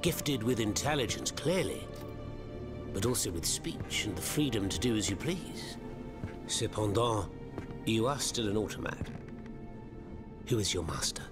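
An actor playing a mocking, zealous revolutionary in a video game, speaking in a flowery, sardonic manner. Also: male voice, on the low side, animated, unhurried, long pauses. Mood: curious, disdainful. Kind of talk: video game cutscene acting. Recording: game music behind the voice.

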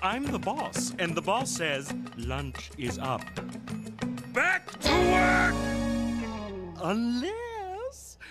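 Pompous, high-pitched cartoon ape boss. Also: male voice, big pitch swings, extremely slow, over loud music, full character voice. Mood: smug, bossy. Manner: smug, pompous, theatrical. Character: vain, pushy male ape boss, scheming and lovesick. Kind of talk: animated cartoon acting.

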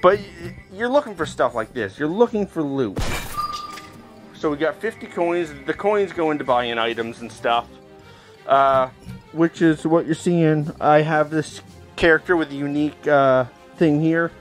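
A middle-aged man talks through a video game as he plays it. His voice sits a little high for a man, and his delivery is casual and explanatory, poking fun at his own skill. Faint game music plays behind him. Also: unhurried. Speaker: gaming video host. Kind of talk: casual gameplay commentary. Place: indoors, recording while playing VR game.

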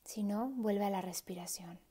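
A woman leading a guided meditation in a soft, hushed, breathy voice with pauses. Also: medium pitch.

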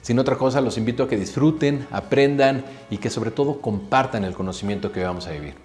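A man's voice: medium pitch, fast, a little mellow, faint music behind. A man speaking for a company, giving earnest, emphatic opening remarks in an office room. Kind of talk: opening speech.

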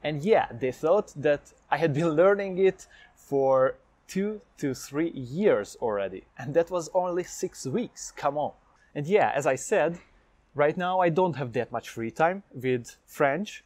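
A man's voice: on the high side, a little slow, no echo. Upbeat young man with a Hungarian accent. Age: young adult. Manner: energetic, talks with his hands. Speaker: language-learning vlogger. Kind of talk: upbeat direct-to-camera vlog. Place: indoors against a plain light wall. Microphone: lapel mic, medium camera framing.

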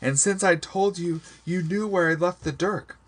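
A man's voice: medium pitch, very animated, normal speed, breathy edge, pitched above the performer's own voice, exaggerated voice. A man voicing a bitter, defiant young woman accused of murder, with emotional, accusing outbursts in a storybook reading. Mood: tearful, accusing.

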